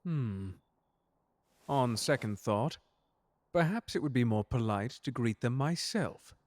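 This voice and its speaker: Guy doing a smooth polite butler, dryly sardonic, super close dry sound, asmr roleplay type thing. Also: mid-range voice, expressive, slow, darkish tone, dry recording. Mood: dryly annoyed. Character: suave, sardonic, unflappable demon butler, protective and courteous. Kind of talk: ASMR audio drama roleplay addressed to the listener.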